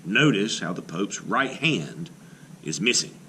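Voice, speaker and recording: A man's voice: low-pitched, unhurried, noisy background. A man teaching art, reading a painter's biography aloud and then walking through a timeline with casual asides. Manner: lively, emphatic read-aloud delivery with asides.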